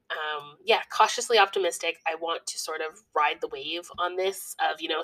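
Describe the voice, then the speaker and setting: A woman's voice: low-pitched, fairly bright tone, poor audio. Congested young woman chatting on a podcast. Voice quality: chatty, laughs often, many fillers. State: congested from illness. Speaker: podcast host. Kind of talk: casual solo podcast chat.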